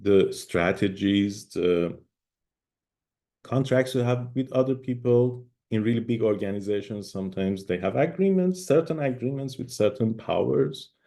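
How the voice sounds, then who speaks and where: A middle-aged man, a native Persian speaker, giving an online conference talk in an emphatic lecturing manner with pauses and fillers. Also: mid-range voice, warm tone. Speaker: guest speaker.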